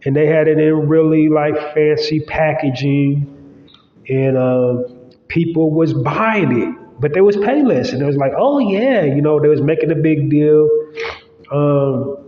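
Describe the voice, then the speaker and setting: A man's voice: on the high side, a little slow. A man hosting his own podcast, talking casually and loosely with lots of fillers, his nose stuffy and congested. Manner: loose, rambling, with many fillers. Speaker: podcast host. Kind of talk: casual solo podcast monologue. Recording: roomy, long-echo, slightly dull sound.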